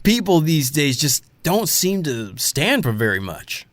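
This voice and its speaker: A man's voice: a little high, sing-song, a little slow, no room sound. A man playing an upbeat, slangy radio DJ host in an audio drama, showy and breezy. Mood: hyped, friendly. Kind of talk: scripted audio drama, radio show banter.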